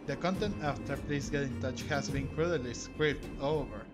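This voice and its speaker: A man's voice: mid-range voice, moderate pace. A man giving casual video game commentary and reading on-screen text aloud. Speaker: gamer streaming a playthrough. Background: loud game music.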